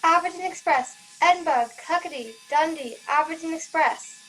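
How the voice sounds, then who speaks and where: A high voice, a woman playing a brisk ticket clerk in a radio play. She calls out politely, with faint music behind her. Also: a bit bright, low-quality recording. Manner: calling out, polite. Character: brisk railway ticket clerk and train caller. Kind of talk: old-time radio play acting. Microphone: video call.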